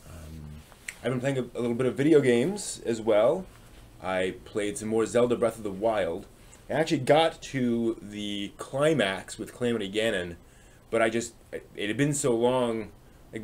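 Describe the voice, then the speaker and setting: A man hosting a casual livestream, rambling and thinking aloud as he chats with viewers in a halting way. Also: on the low side, expressive, darkish tone. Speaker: live stream host. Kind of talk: casual livestream chat, answering viewers' comments.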